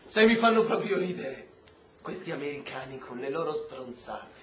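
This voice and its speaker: Sardonic prisoner, high voice, slangy swagger, bitter, brotherly, radio drama, roomy faintly noisy recording. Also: male voice, very animated, normal speed. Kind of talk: stage-style radio drama acting. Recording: roomy stage sound with faint noise.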